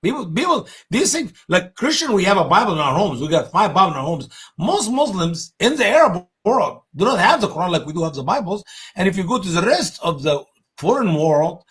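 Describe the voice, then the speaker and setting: Excitable Egyptian-accented man, high lively pitch. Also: fairly quick, slightly rough. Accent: Egyptian Arabic. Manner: excitable, emphatic, swings high on key words. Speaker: co-host. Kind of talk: casual panel discussion.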